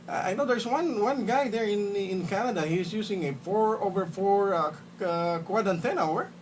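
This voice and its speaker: Male ham operator, slightly distant, clearly noisy. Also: high voice, measured pace. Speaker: amateur radio operator. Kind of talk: casual ham radio contact. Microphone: phone recording in radio room.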